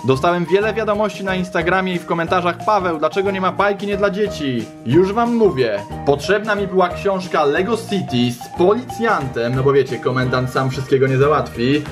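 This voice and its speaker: A man's voice: sits high, brisk. A young man presenting a playful, informal toy review show in an enthusiastic, slangy way. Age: young adult. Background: background music.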